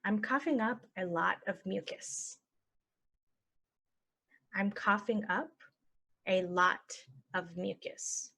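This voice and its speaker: A woman teaching English phrases, saying each one and then repeating it slowly and clearly for learners. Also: mid-range voice, sharp tone. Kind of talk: slow phrase-by-phrase language lesson.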